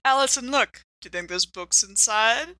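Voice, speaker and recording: A woman's voice: fairly high pitch, normal speed, pushed and bright, no echo. A woman playing a tabletop game, chatting casually and jokingly in a giggly, playful way.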